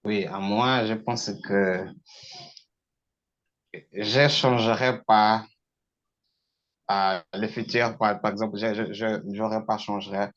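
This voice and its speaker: A man from Angola whose first language is Portuguese, speaking French in a halting way with frequent laughs in a casual practice chat. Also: on the low side, steady tone, a bit bright, lo-fi sound. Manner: slow, halting, laughs often. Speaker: participant.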